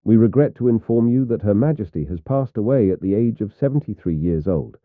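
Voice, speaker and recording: A man reading a solemn scripted news bulletin in a formal, measured way, as a news announcer. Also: on the low side, expressive, no echo. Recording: tinny, phone-like narrow band.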